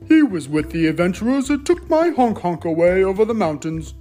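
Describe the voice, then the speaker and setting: A man's voice: high-pitched, expressive, fairly quick, dark tone, soft background music. A man voicing a glum hill troll in a children's storybook, blunt and cautioning. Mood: aggrieved.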